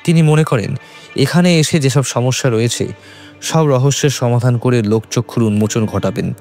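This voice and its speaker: A man narrating a scripted horror story in suspenseful, measured phrases. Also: mid-range voice, darkish tone. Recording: faint music behind.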